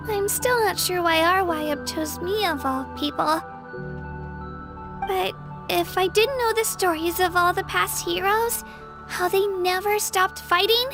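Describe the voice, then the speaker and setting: A woman's voice: very high voice, measured pace, music in the background. A woman playing an earnest, humble young girl warrior in a video game, with a youthful girlish voice and polite, eager delivery.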